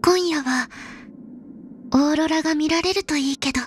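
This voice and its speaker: A woman voicing an aloof young female snowfield explorer in a game, speaking low-key and softly deadpan. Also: normal speed, slight background noise, natural voice. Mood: laconic. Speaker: game character voice. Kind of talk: game voice lines, Japanese dub.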